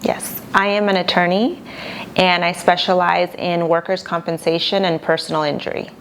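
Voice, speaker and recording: A woman attorney from Argentina with a low voice and a gravelly, creaky edge. She answers questions in a calm, measured, plain-spoken way. Faint noise sits behind her. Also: rough recording. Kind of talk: interview answers.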